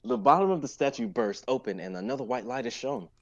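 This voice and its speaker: A man's voice: medium pitch, lively intonation, quick pace, muffled recording. A young man narrating stage directions in a live amateur script reading. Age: young adult.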